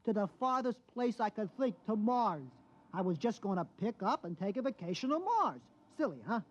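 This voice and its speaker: An actor playing a good-natured working-class bus driver, rambling and colloquial, in a 1950s radio drama. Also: male voice, sits high, big pitch swings, moderate pace. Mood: sheepish, chatty. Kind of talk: 1950s science fiction radio acting. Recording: dull vintage radio recording.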